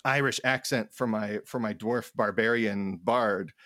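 A man co-hosting a podcast, talking casually about tabletop gaming in a halting way, thinking aloud in fragments. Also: on the low side, sharp tone, no echo, studio quality. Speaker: podcast co-host. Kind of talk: casual chat about tabletop role-playing.

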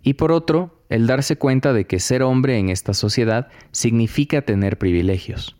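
A man hosting a podcast, reading scripted documentary narration in a measured, reflective way. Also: mid-range voice, darkish tone.

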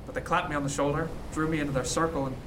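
Actor as earnest, reflective pirate captain, faint noise. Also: male voice, a little high, fairly even intonation, natural voice. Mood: somber, reminiscing. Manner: measured, halting, earnest. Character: reflective, modest sea captain in 1717, former cabin boy. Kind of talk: period radio drama acting, storytelling.

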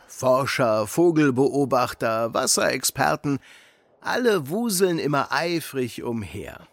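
A friendly, handy uncle who tells stories to children in an audio play. His medium-pitched voice moves in lively swings, with faint music. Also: gravelly, exaggerated voice. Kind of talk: children's audio drama acting.

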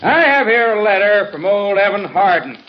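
An actor playing a crafty, back-slapping small-town politician giving a folksy, declamatory campaign speech in an old-time radio Western. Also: male voice, high-pitched, big pitch swings, normal speed. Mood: hearty, folksy. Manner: folksy, glad-handing, declamatory. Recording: muffled old radio recording, crowd, noise.

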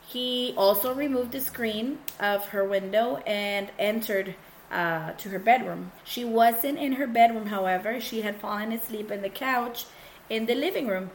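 Woman podcast co-host, hoarse from illness, bilingual casual storytelling, faint noise. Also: on the high side, unhurried, rough recording. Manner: switches between English and Spanish mid-sentence. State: sick, coarse voice. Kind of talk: casual true-crime chat.

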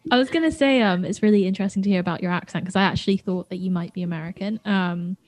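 A woman's voice: mid-range voice, very fast, a little mellow, no room sound. A young woman co-hosting a chatty podcast, talking in a rambling way with frequent ums and restarts. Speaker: podcast co-host. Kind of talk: casual chat.

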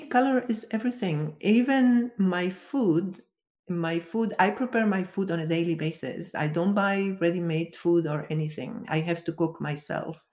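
A woman's voice: fairly low pitch, a little mellow. A woman from Venezuela, a guest giving careful, step-by-step explanations in an interview. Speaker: guest, color expert.

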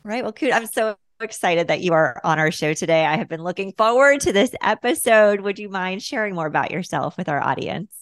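A middle-aged woman hosting a podcast, upbeat and emphatic, rattling off lists in quick bursts. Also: medium pitch, lively intonation. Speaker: podcast host. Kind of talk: scripted intro then interview.

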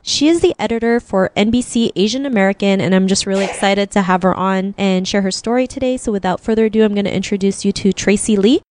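A woman's voice: medium pitch, quick pace, few pauses, darkish tone. A woman hosting an interview podcast, chatting in an upbeat way and often saying "like" and "right?". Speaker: podcast host. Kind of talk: casual interview chat.

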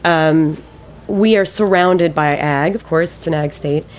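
A woman's voice: low-pitched, a little slow, slight background noise. A woman in her late thirties with an American accent, talking informally and emphatically as a guest in a casual radio interview, often repeating words for emphasis. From Ohio, United States. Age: late 30s. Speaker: ice cream maker and business owner, interview guest.

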